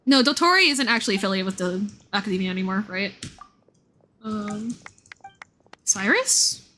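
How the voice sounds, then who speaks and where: A woman's voice: mid-range voice, expressive, moderate pace, pushed and bright, a little hiss or hum. A woman game streamer chatting casually and commenting as she plays, informal and meandering as she thinks aloud. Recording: music playing behind the voice. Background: background music.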